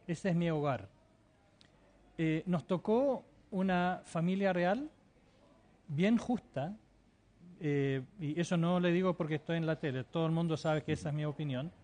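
A man's voice: on the high side, darkish tone. A man giving a TV interview, answering fervently and insistently, often hesitating and repeating words. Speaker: interview guest living in the Emirates.

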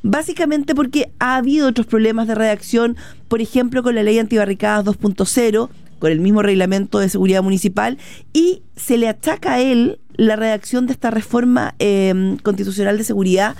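Gravelly Chilean woman co-hosting the news. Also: a little high, fairly quick, darkish tone. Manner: explanatory, with many fillers. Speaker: news co-host. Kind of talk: radio news chat.

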